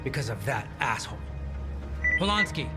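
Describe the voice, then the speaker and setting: A man playing a gruff, blunt, foul-mouthed military commander in a video game cutscene. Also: mid-range voice, very animated, normal speed, loud music behind the voice, lightly acted. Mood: angry, vengeful.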